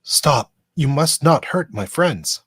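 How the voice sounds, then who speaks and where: A man playing a polite, earnest android officer in a fan radio play, speaking with formal, deliberate diction. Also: a little high, sing-song, a bit bright, breathy edge, exaggerated voice. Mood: urgent.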